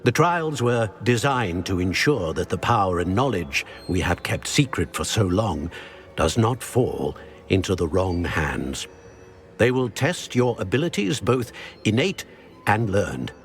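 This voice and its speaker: An actor voicing a solemn, ancient male wizard in a talking portrait for a video game, speaking in a grave, measured and formal way. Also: on the low side, big pitch swings, soft background music. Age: older. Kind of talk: video game cutscene acting.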